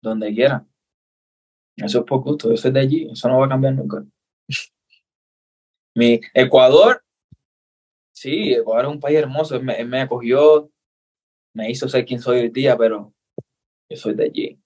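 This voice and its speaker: A man with a Cuban accent talks as an interview guest. His voice sits at an ordinary middle pitch. He speaks earnestly, repeating phrases and dropping in friendly asides. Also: moderate pace, long pauses, darkish tone, husky. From Cuba. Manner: earnest, repeats himself, says brother. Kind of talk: casual interview.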